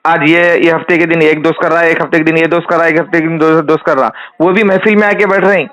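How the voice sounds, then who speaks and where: A man with a Hyderabadi accent and a slightly high voice talks informally on a recorded phone call. He is the caller, laying out his question in an insistent, repetitive way. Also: steady tone, fast, fairly bright tone. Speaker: caller putting a question to a cleric.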